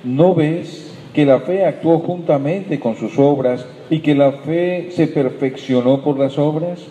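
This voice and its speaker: Man reading scripture, steady and measured, deep mellow tone, roomy church echo. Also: dull audio, slight background noise. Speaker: congregation member reading scripture. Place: church hall. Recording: echoey hall from off-mic.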